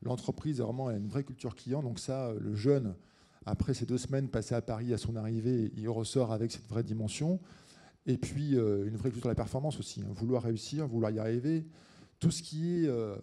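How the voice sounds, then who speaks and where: Very mellow male conference panelist. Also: medium pitch. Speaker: company executive, conference panelist. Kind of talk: panel discussion. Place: conference auditorium stage. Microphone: handheld microphone.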